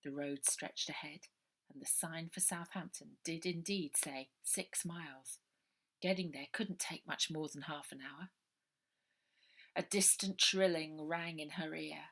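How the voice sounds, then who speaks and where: A woman author reading her own children's adventure novel aloud, lively, dropping to a whisper for suspense. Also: low voice, unhurried. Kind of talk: storybook narration for children.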